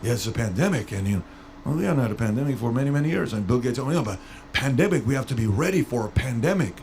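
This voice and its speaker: A man from Iran hosting a podcast and asking long, rambling questions. He repeats himself and slows down to stretch out words. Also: medium pitch, lively intonation, a little mellow, a little hiss or hum. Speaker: podcast host. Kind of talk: casual interview talk.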